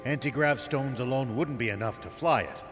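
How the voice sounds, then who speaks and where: An actor playing a stern older military veteran in a video game, speaking with grave, commanding urgency. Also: male voice, medium pitch, normal speed, a bit bright, in a large hall, soft background music, close to an everyday voice. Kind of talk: dramatic video game voice acting.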